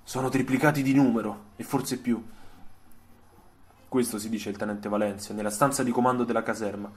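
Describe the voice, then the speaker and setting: A man reading a scripted literary story for radio, in a measured, dramatic storytelling way. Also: medium pitch, fairly even intonation.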